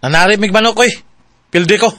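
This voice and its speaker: An evasive gambler husband played by a man talks curtly and dismissively in radio drama style. His voice sits a little high for a man, with a Cebuano Filipino accent. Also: fast talker, background noise, close to an everyday voice. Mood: irritated, dismissive. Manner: curt, evasive.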